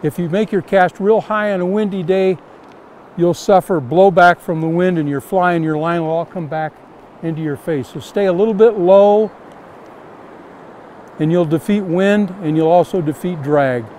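A man's voice: high-pitched, moderate pace, darkish tone, a little hiss or hum. An older man teaching fly casting outdoors while standing in a river, giving plain, repetitive step-by-step instructions.

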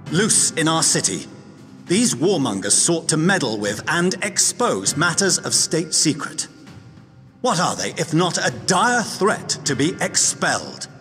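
Haughty councillor in a reverberant hall. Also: male voice, on the high side, big pitch swings, a little slow, fairly bright tone. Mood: indignant. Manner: pompous, formal. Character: severe, haughty male council elder denouncing outsiders. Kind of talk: dramatic video game dialogue. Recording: echoing hall, music underneath.

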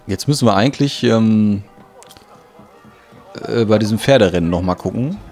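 Guy doing a lets play, lowish gravelly voice, offhand, just thinking out loud. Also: normal speed, faint music behind. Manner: offhand, thinking aloud, colloquial. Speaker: let's play host. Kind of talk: casual gameplay commentary.

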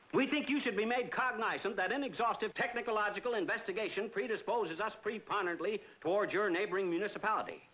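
High-voiced, blustering little club president. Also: male voice. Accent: American. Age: middle-aged. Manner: brash, wisecracking, mangles big words. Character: blustering, excitable small-town club president, cocky little man. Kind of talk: 1940s film comedy acting. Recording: dull, noisy 1940s film soundtrack.

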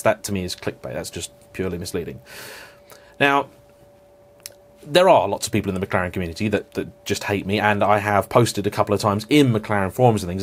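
A man talking to camera in an unscripted vlog, reflective and apologetic, with frequent restarts and hedging fillers. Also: on the low side. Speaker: car vlogger.

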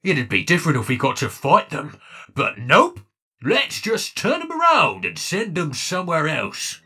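A man's voice: fairly high pitch, huge pitch swings, unhurried, sharp tone, full character voice. A man voicing a grumpy, exasperated alien robot fighter in a cartoon comic dub. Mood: grumbling. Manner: irritated, exasperated. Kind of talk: animated comic dub acting.

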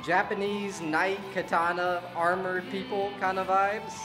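A man chatting casually as co-host of a reaction video, excitable and slangy with frequent exclamations. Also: sits high, unhurried, over music. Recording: game soundtrack playing behind.

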